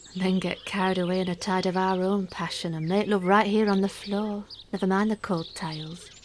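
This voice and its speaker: A woman's voice: on the low side, background noise, natural voice. A woman acting a romantic, wry, middle-aged mother who confides her private yearnings in an intimate radio drama monologue. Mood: wistful, intimate. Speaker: actress in a radio play monologue.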